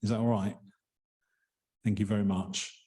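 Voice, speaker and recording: A man chairing a members' meeting in a hall, polite and hesitant with many pauses and ums. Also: fairly low pitch, flat delivery, mellow.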